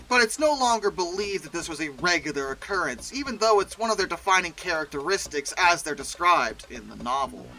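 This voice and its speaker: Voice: medium pitch, bright, forceful tone, low-quality recording. A host giving conversational, opinionated video commentary, with emphatic asides. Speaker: host of a video ranking show.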